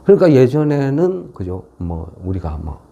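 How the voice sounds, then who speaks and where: A man's voice: mid-range voice, big pitch swings, normal speed, vocal fry, dark tone, highs cut off. A man with a regional, non-Seoul South Korean dialect giving an informal explanatory market lecture in a folksy way, often tagging on rhetorical questions. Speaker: stock market commentator.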